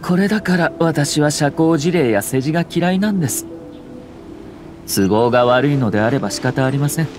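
A man acts as a young game aristocrat, courteous and composed. His voice sits a little high, and he speaks in measured, formal phrases with music playing behind him. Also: animated, lightly acted. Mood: relaxed. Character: refined young noble lord, courteous and composed. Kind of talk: Japanese game voice acting. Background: background music.

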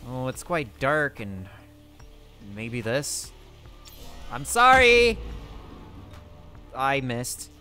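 A man voicing his character in a survival game roleplay, excitably thinking aloud to himself. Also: mid-range voice, very slow, long pauses, soft background music.

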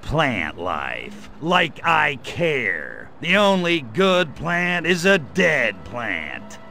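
A gravelly wisecracking alien. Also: male voice, fairly high pitch, wildly swooping pitch, very slow, pushed and bright, exaggerated voice. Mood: ranting. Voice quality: gravelly, slangy drawl. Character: cocky wisecracking male alien invader. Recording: game audio with faint music.

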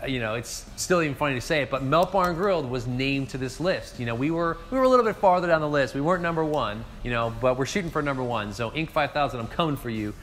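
A man's voice: medium pitch, animated, fast, few pauses, fairly bright tone. A man in his forties from northeast Ohio, a restaurant owner giving an upbeat, casual year recap straight to camera from his empty bar, gesturing as he talks. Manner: upbeat, gestures with hands while talking. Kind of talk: casual direct-to-camera talk. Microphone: seated facing a mid-distance camera.

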